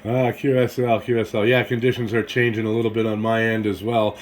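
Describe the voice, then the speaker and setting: Low-pitched American man on ham radio. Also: flat delivery, brisk, very noisy. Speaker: amateur radio operator. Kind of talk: casual ham radio conversation.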